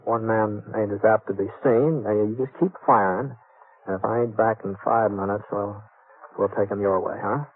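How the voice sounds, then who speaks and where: An actor playing a calm, reasonable drifter gunslinger in a 1950s radio western, speaking in a hesitant, folksy, stammering drawl. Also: male voice, on the low side, normal speed, breathy edge. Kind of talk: 1950s radio western acting and first-person narration. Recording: muffled, band-limited 1950s radio recording.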